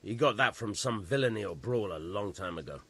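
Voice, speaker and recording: Gruff, husky village bailiff. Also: male voice, fairly low pitch, animated, a little fast, a bit bright. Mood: blunt. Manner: blunt, grudging, colloquial. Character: gruff, weary village bailiff, dismissive and blunt. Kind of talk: video game cutscene acting.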